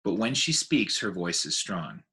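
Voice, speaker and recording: Man, low voice, expressive storybook narration, read-aloud. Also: unhurried.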